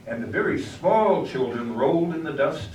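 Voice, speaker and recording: A man's voice: a little high, expressive, normal speed, a little mellow, close to an everyday voice. A man playing the folksy narrator of a small-town American tale, reading scripted storytelling narration in a live play. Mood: scene-setting. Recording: echoey, distant, faintly noisy room.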